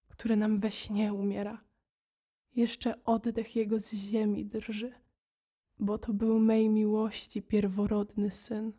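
Female reciter for audio drama: mellow tone, little pitch movement, solemn restraint. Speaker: poetry reciter.

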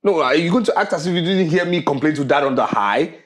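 An impassioned young Nigerian husband and son in a film drama. His pitch swings widely as he insists and repeats his phrases indignantly. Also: big pitch swings, measured pace, slightly rough, exaggerated voice. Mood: confrontational. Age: young adult. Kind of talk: screen drama acting.

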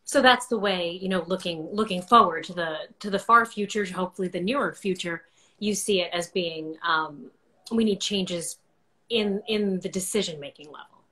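A woman's voice: on the low side, moderate pace, pushed and bright. A woman in her forties hosting a conversational interview, reflecting and summing up as she goes. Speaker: interview host. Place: indoor home room with bookshelves, video call.

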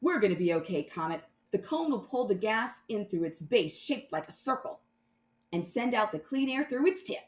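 A woman's voice: mid-range voice, big pitch swings, moderate pace, reverberant, close to an everyday voice. A woman voicing a bold, dramatic boy space hero in a children's storybook, in a theatrical, exclamatory manner. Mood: reassuring. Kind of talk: children's storybook read-aloud.

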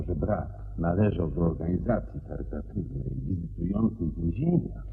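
Very deep, gravelly master detective. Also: male voice, exaggerated voice. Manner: deliberate, theatrical, with emphatic pauses. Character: brilliant male detective, assured, methodically explaining a crime. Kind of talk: radio play acting. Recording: dull radio recording, faint noise and hum.

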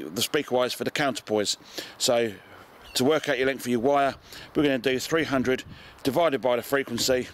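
A middle-aged man with a British accent giving a casual hands-on demonstration, recorded outdoors in a garden. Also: mid-range voice. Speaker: amateur radio video presenter.

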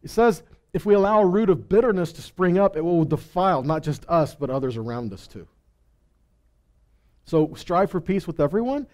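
An animated male preacher in his thirties, moving around a small stage with a headset mic. His voice sits fairly high for a man but has a dark, mellow tone. He shifts between teaching and reading scripture. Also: moderate pace. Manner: animated, gesturing while preaching, reads scripture aloud. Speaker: pastor. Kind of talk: sermon teaching. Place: small stage with purple lights, indoors.

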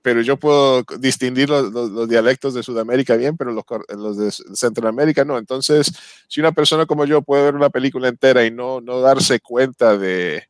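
A man's voice: medium pitch, brisk, no room sound. A man in his thirties, from Colombia and Uruguay, hosting a festival Q&A over a headset mic on a video call, often repeating words as he searches for phrasing. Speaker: festival Q&A host. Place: home room with bookshelves.

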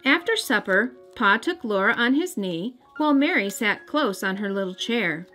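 An American woman narrates a children's storybook in a gentle, careful way. Her voice sits at a middle pitch, with music playing underneath. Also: animated, moderate pace. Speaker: children's storybook reader and host. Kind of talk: scripted read-aloud narration.

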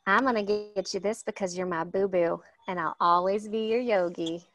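A woman's voice: mid-range voice, fairly even intonation, moderate pace, a bit bright, natural voice. A woman reading a brash, aggressive, violent woman in a comedy table read.

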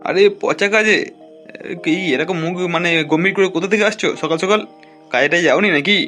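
A man acts out a brash, nosy lad in a comic cartoon. His sharp, slightly high voice races along crudely. Faint music plays behind him. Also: a little fast. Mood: brash curiosity. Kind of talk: amateur comic cartoon dub. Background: faint background music.

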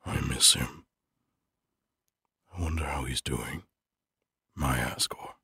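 A man playing a melancholy, lonely exiled skeleton scientist in a comic dub, formal and brooding, with a breathy, near-whispered voice. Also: very deep voice, fairly even intonation, dry recording, exaggerated voice. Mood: wistful. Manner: brooding, formal, slow musing. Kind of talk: dramatic comic-dub monologue. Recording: loud music under the voice. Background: loud music.

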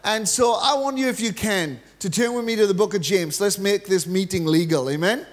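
A middle-aged man with a Welsh accent delivers an energetic, humorous sermon, his voice sitting notably high for a man. He speaks into a handheld mic on a large church stage. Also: brisk. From Wales. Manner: energetic, joking preacher, gestures freely while pacing the stage. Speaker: guest preacher.

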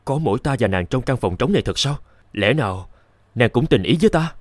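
An actor playing a naive, smitten young scholar in an animated fairy tale, earnest and theatrical. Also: male voice, mid-range voice, a little fast, no echo, studio quality, natural voice. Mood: lovestruck.

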